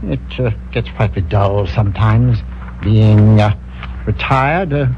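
An actor playing a chatty, secretly scheming retired colonel, rambling and hesitant in 1950s radio drama style. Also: male voice, on the low side, a little slow, a little hiss or hum. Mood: affable. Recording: dull old radio broadcast with music. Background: orchestral music.

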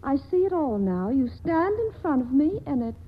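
An earnest, pleading young woman; high, breathy, 1940s radio, tinny broadcast sound. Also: sing-song, normal speed, a little hiss or hum. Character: earnest young woman torn in love, Monica. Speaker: actress.

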